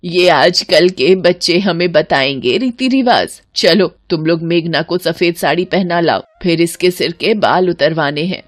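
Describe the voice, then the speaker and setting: A woman voicing a stern, tradition-bound elderly great-aunt in an animated family melodrama, scolding and commanding. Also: fairly low pitch, a little slow, hardly any pauses, no room sound, clean studio sound. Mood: scornful, stern.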